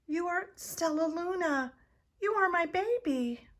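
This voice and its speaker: A woman's voice: very high-pitched, animated, takes it slowly, fairly bright tone, pitched above the performer's own voice, full character voice. Woman voicing a tender storybook mother bat. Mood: urgent, amazed. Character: tender, reassuring mother bat, grown female.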